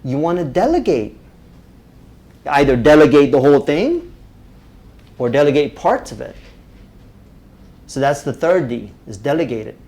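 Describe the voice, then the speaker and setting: Male workshop instructor, upbeat and pitched a touch high, keeps checking in with the room. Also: moderate pace, pauses often. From Romania. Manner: energetic, checks in with "right?" constantly. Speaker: public speaking instructor. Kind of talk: live workshop talk to a group.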